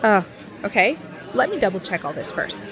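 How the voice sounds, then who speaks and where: A woman's voice: mid-range voice, sing-song, normal speed, natural voice. A woman playing a polite, helpful schoolteacher with an obliging manner in an amateur audio drama. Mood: polite, puzzled. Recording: busy crowd noise behind.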